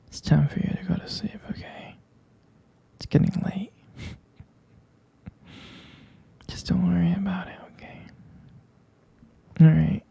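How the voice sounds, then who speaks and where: Man playing a husky whispering, doting boyfriend. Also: fairly high pitch, dark tone, full character voice. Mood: soft, teasing. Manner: slow, hesitant, affectionate murmuring with pet names. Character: tender, doting vampire-romance boyfriend soothing partner to sleep. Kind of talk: ASMR roleplay.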